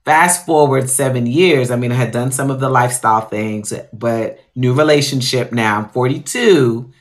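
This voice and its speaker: Candid woman chef telling her story. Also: extremely low pitch. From Guyana. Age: 40s. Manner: candid, storytelling, drawn-out emphasis. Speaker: guest chef. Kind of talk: video call interview. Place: home room. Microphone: video call.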